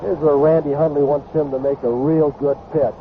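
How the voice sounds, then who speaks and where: High-voiced male baseball analyst on old radio. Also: level tone, moderate pace. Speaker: baseball color commentator. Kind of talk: conversational live radio sports analysis. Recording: noisy phone-like old radio broadcast.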